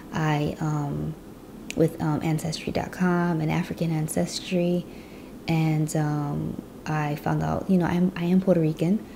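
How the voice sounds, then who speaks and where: A woman's voice: low-pitched, dark tone, a little hiss or hum. A woman from the US Midwest telling her personal story in a chatty vlog, with lots of "you know". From Chicago, US Midwest. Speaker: vlogger.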